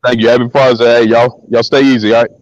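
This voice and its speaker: Deep-voiced man telling stories, lo-fi line. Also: fast, no room sound. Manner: relaxed, slangy, repeats words as he talks. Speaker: guest. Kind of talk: casual storytelling interview.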